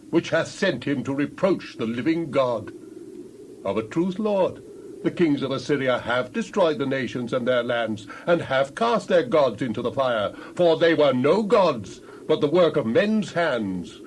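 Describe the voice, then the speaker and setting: A man's voice: mid-range voice, slight background noise, close to an everyday voice. An actor playing a devout king in a dramatized scripture reading, praying with earnest, beseeching reverence. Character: devout, pleading king of Judah in prayer.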